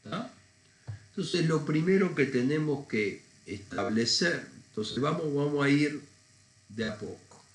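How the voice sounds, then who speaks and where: A man's voice: on the high side, lively intonation, unhurried. A man with a congested voice from a cold, teaching an accounting lesson step by step in an informal, didactic way. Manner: didactic, informal, thinking aloud. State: congested with a cold, often clearing throat. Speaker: accounting lecturer. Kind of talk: recorded lesson, explaining step by step. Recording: echoey room sound.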